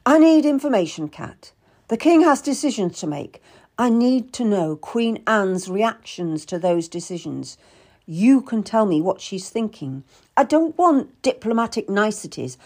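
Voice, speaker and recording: A woman's voice: on the high side, big pitch swings, unhurried, a little mellow, voice pushed up, exaggerated voice. A woman voicing a brusque, condescending, calculating Tudor nobleman in a dramatised audiobook. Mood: persuasive, calculating. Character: curt, haughty Tudor nobleman, busy and calculating.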